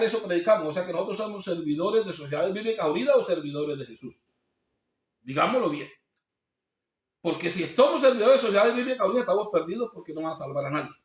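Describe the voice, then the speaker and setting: A male pastor preaching a sermon, asking rhetorical questions and quoting scripture. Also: sits high. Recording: echoey room.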